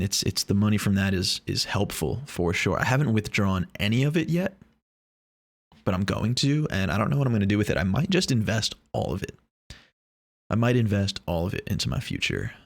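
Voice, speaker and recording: A young man rambles through a casual vlog. He jokes, brags and swears freely, and his voice sits a little low. It is recorded close and dry, with no room sound. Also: vocal fry, no echo. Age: 20s. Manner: joking, boastful, swears a lot. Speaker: vlogger. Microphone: lav or shotgun mic.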